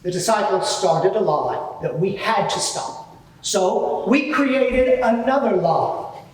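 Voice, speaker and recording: A man playing a haughty, evasive high priest on the witness stand in a live courtroom drama, speaking formally and emphatically in his own defence. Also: expressive, slow. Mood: defiant, self-justifying. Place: large reverberant courtroom, live event. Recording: very echoey hall, distant microphone.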